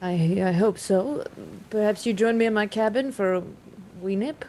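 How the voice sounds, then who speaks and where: An awkward shipboard suitor, played by a woman in a tabletop game. The voice is mid-pitched, hesitant and fumbling, with faint noise behind it. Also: normal speed, dark tone, lightly acted, poor audio.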